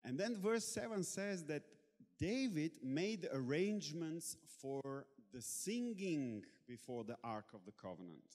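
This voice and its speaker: A Bulgarian-born man preaching in English, pausing often as he searches for words, with a playful edge. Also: on the high side, very animated, a little mellow. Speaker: guest preacher. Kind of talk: sermon in English with interpreter. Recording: long hall reverb.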